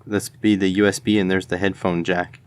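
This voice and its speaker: A man's voice: low voice, level tone, a little fast, clear, resonant tone. A man giving casual hands-on commentary as host of an electronics teardown video, tentatively thinking aloud as he goes.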